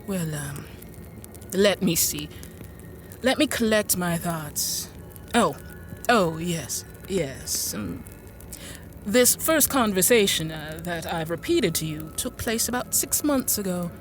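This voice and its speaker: A woman's voice: lowish voice, expressive, takes it slowly, soft background music. An actress playing a prim, genteel woman in an audio drama, confiding her story in a hesitant, rambling, over-polite way. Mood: confiding, fussy. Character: prim, fussy, genteel woman recounting a dark tale. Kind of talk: audio drama acting, confiding first-person narration.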